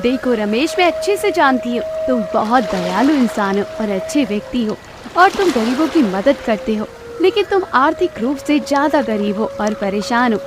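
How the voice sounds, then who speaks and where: A woman voicing a kind river mermaid in a cartoon fairy tale, speaking in a gentle, reassuring, earnest way. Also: a little high, animated, normal speed, noisy background, lightly acted.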